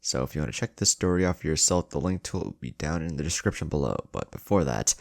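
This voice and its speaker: A man's voice: rumbling low, animated, fast talker, creaky, no room sound, clean studio sound. A man hosting a story channel, reading scripted fan fiction in a dramatic narrator's delivery.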